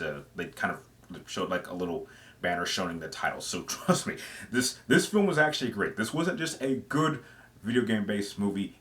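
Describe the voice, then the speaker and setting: A man in his thirties with a fairly low voice hosts a countdown review. He talks in a conversational, opinionated way, leaning on his words with enthusiasm and emphasis. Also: a bit bright. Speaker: video game movie reviewer, host. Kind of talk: opinionated top-five countdown commentary.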